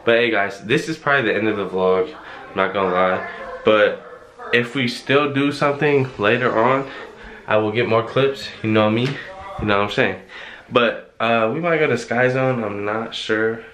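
A man's voice: fairly low pitch, normal speed, a bit bright, slight background noise. A young man casually talking to his phone camera at home, rambling and slangy as he wraps up his vlog. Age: young adult. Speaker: vlogger. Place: indoors at home, small room. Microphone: phone held at arm's length.

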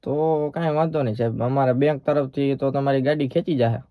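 A man's voice: medium pitch, steady tone, brisk, no echo, phone-quality sound. A man with a Saurashtra Gujarati accent making a prank call as a polite, persistent bank employee. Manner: polite, persistent, official-sounding. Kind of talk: prank phone call in casual dialect.

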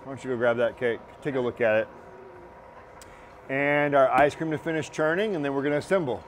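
Chef teaching in a kitchen. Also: male voice, mid-range voice, fairly quick, a little hiss or hum. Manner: relaxed, encouraging, talks while working. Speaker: culinary instructor. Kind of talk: cooking demonstration. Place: large teaching kitchen, indoors.

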